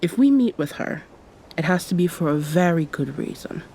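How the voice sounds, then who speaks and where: Woman playing a soft, low, mellow pirate succubus captain. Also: animated, moderate pace, slight background noise. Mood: earnest. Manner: soft, breathy, often near-whisper, formal old-fashioned phrasing. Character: female pirate succubus captain, centuries old, affectionate, teasing, commanding. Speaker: ASMR roleplay performer. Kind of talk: intimate ASMR roleplay.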